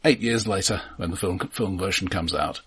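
A man with a rough-edged, fairly low voice co-hosts a casual film podcast. He sounds informed and precise, adding details and corrections in a conversational way. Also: moderate pace, a bit bright. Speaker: podcast co-host.

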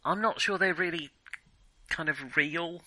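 Male film podcast host, sharp bright tone, close dry sound, hesitant, thinking-aloud conversational delivery. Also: a little high, a little slow, dry recording, poor audio. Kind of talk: casual film discussion.

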